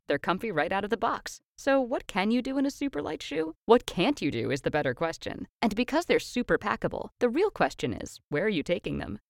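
A woman's voice: medium pitch, dry recording. Ad read: a woman, upbeat and promotional, swooping intonation, dry close sound. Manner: bright promotional delivery. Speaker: ad announcer.

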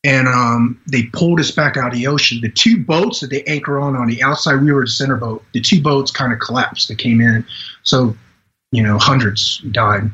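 A man in his forties from Vietnam, a podcast guest telling his life story in an earnest, halting way with many restarts. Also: medium pitch, fairly bright tone, dull audio. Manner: earnest, halting delivery with frequent "you know" restarts. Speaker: podcast guest, retired Green Beret. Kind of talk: personal storytelling in a podcast interview.

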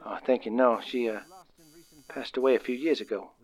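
A man's voice: medium pitch, steady tone, moderate pace, a little mellow, highs cut off, natural voice. Actor as hesitant, lonely, frightened male caller. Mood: lonely, subdued. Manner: hesitant, stammering, pleading. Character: lonely, frightened man phoning the operator. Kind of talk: 1940s radio drama acting.

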